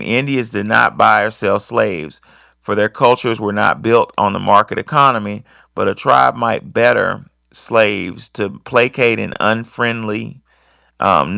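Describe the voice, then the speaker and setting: A man hosting an online discussion, giving informal commentary and reading quotes in a halting, deliberate way. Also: fairly low pitch, vocal fry, pushed and bright, no room sound, studio quality. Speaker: host of an online audio discussion. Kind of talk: informal commentary with read quotations.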